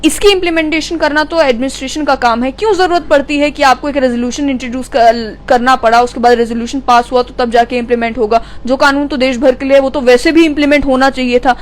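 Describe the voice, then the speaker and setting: A woman's voice: high-pitched, fairly even intonation, quick pace, hardly any pauses. A woman reporter, asking long, probing questions in an interview.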